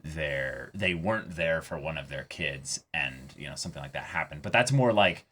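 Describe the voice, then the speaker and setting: A man co-hosting an anime reaction show, casually analysing a story in a halting, thoughtful way. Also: very deep voice, lively intonation, a bit bright, husky.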